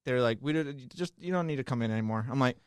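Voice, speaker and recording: A man's voice: medium pitch, rapid-fire, clean tone, no room sound. Man, podcast guest, laid-back rambling, laughs a lot, dry close sound. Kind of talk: casual podcast chat.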